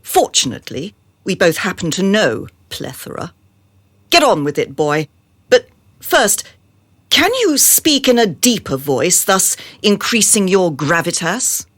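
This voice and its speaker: An actress playing a haughty, domineering madam in a radio drama, imperious, condescending and scolding. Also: female voice, medium pitch, sing-song, unhurried, no room sound. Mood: impatient, bossy. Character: haughty, domineering Madam running a sinister experiment.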